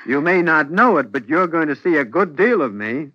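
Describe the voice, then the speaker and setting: A husband at the end of his rope in a radio melodrama. His voice is fairly high and forceful, with big swings of intonation. The recording is dull and old. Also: very animated, moderate pace, faint music behind, full character voice. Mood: pleased. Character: exasperated, tormented husband turning coldly desperate. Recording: muffled vintage radio recording.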